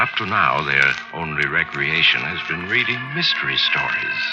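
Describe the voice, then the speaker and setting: A suave, mysterious host of chilling radio tales speaks in a very deep, theatrical voice. He is teasingly sinister, on a muffled old recording with loud music behind him. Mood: wry storytelling. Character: mysterious, sardonic male storyteller hosting chilling radio tales. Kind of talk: 1940s radio horror narration.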